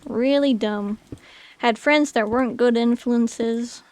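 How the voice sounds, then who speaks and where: A woman's voice: on the high side, steady tone, slow pace, a little mellow. A woman in her late twenties chatting casually with viewers on a game stream, rambling with many fillers. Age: 20s. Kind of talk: casual chat with chat while gaming.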